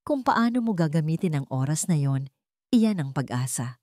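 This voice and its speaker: A woman narrator plays a serious male scientist-doctor with a Filipino accent in an audio story. He speaks in a measured, direct way, calm and without emotion. The sound is close and dry. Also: low-pitched, no room sound. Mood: grave, measured.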